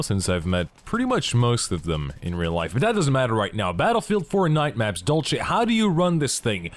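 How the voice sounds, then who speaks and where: A young man from Belgium, talking enthusiastically and jokingly in a casual gaming vlog. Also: mid-range voice. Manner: enthusiastic, joking, asks himself questions. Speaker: gaming YouTuber.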